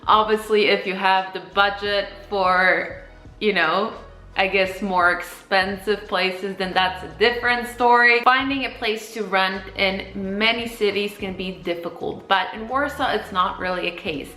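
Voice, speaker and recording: A woman's voice: mid-range voice, steady tone, measured pace, bright, forceful tone, faint music behind, low-quality recording. A woman, talking casually and explaining things as a vlogger. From Poland, grew up partly in Copenhagen. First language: Polish. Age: 20s. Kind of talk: casual vlog talk to camera.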